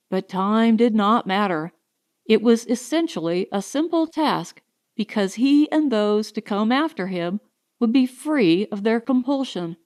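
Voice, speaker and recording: A woman reading a science fiction short story as an audiobook narrator, in a measured, carefully enunciated storytelling style. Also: a little high, a little mellow.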